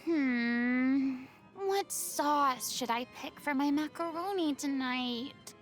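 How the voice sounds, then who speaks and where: High-pitched theatrical young diva. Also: female voice, slow pace, soft background music. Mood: theatrical, musing. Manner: theatrical, refined, haughty diction with dramatic swings. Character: theatrical young woman, proud, dramatic former stage idol, secretly wistful. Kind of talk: video game character voice lines.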